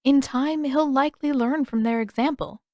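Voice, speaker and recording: A woman voicing a gentle, regretful teenage princess in an audiobook, with soft, careful, polite phrasing. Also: high voice, moderate pace, dry recording, studio quality, pitched above the performer's own voice, lightly acted. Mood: gentle, hopeful. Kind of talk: audiobook character dialogue.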